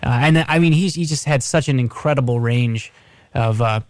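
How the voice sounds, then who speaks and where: A man of average pitch hosts a radio show in a very dry-sounding recording. He talks conversationally and with some care, hesitating and repeating words as he goes. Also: moderate pace, dry recording.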